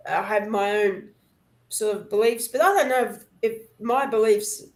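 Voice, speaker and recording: A woman's voice: mid-range voice, normal speed, low-quality recording. A woman in her sixties chatting casually as a panel guest, hesitant and meandering as she gathers her thoughts. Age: 60s. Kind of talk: casual chat.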